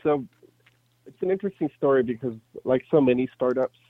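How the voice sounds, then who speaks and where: A man in his thirties with a light German accent chats as an interview guest, telling stories in a casual, conversational way. His medium-pitched voice comes through narrow and phone-like, with a dry sound and no room echo. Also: fairly quick. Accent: slight German. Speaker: interview guest, startup entrepreneur.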